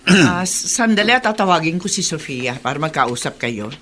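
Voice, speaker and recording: A woman's voice: sits low, big pitch swings, normal speed, a bit bright, slight background noise. A Filipino actress playing a worried, protective middle-aged mother in a radio drama, speaking hesitantly. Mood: resigned, polite. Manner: hesitant, stammering. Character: anxious, protective mother of a grown daughter.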